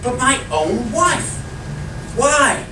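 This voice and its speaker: An actor playing an exasperated husband in old-time radio comedy style, incredulous and theatrically emphatic. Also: male voice, extremely high pitch, big pitch swings, extremely slow, pushed and bright, far from the mic, full character voice. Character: exasperated husband trying to sell a house. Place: hall at a lodge, live performance. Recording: echoey, noisy live stage recording from afar.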